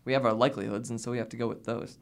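A brisk, gravelly-edged teenage boy played by a man lectures like a self-appointed survival strategist. He is pedantic and matter-of-fact, in a radio drama. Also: mid-range voice, steady tone, close to an everyday voice. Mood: brisk, instructive. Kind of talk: audio drama acting.

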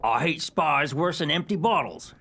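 A touchy, boastful pirate underling, acted by a man in a comic radio play. His voice is fairly high and sharp with a gravelly creak, in stage pirate dialect. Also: expressive, moderate pace, exaggerated voice. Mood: fierce.

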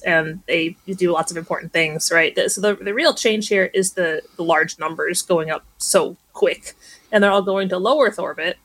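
A woman astronomer from the United States explains things casually and quickly in an interview clip. Her voice sits a little low. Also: fairly bright tone. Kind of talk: interview answer.

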